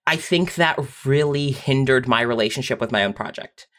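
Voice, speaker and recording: Audio fiction writer, middle register, conversational, reflective, halting delivery with emphatic rephrasing. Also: medium pitch, fairly bright tone. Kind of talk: casual, reflective panel discussion.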